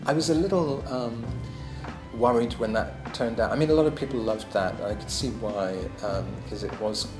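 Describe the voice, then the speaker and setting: A man's voice: mid-range voice, normal speed, echoey room, over music. A man lecturing on his own album in a casual, musing way, hesitant, with many ums and restarts.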